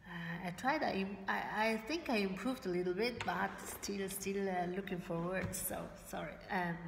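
A woman's voice: medium pitch, normal speed, gravelly. A woman in her forties whose first languages are Italian and German, speaking English to camera and often searching for words, with many fillers and restarts. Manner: gestures with her hands while talking. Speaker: motivational vlogger for network marketers. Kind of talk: casual motivational talk to camera. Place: bright living room indoors. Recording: roomy echo with faint room noise.

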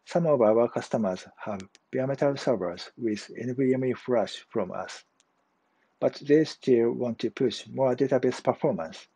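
A man, giving a measured, prepared testimonial to camera over a video call. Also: medium pitch, warm tone, slightly rough, no echo, low-quality recording. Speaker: customer engineer giving a testimonial. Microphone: webcam video call framing.

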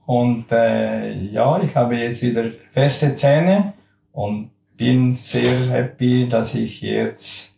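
A man in his sixties gives a halting testimonial as a patient. He speaks slowly with a creaky voice, in a roomy-sounding clinic with faint noise behind him. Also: medium pitch, mellow. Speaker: dental patient. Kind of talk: testimonial to camera. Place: small dental clinic room. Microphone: lapel mic.

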